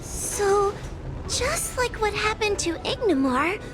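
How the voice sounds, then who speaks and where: A woman's voice: very high-pitched, big pitch swings, takes it slowly, exaggerated voice. A woman voicing a timid, anxious young girl in a video game, speaking meekly and hesitantly. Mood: nervous. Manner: hesitant, meek. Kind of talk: scripted video game voice acting. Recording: voice under prominent music.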